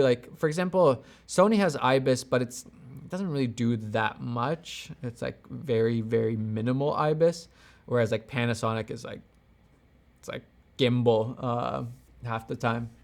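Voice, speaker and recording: Creaky-voiced man chatting off the cuff. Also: medium pitch. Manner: off-the-cuff, rambling, thinking aloud, backtracking mid-thought. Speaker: camera gear YouTuber hosting a livestream. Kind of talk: casual livestream chat, answering viewer questions and giving gear opinions.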